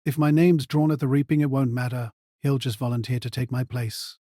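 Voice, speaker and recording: A man's voice: medium pitch, steady tone, fairly quick, warm tone, dry recording, clean studio sound, close to an everyday voice. A man acting in an audio drama as a jaded, hard-drinking older mentor who is sardonic, needling and blunt. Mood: weary, reproachful.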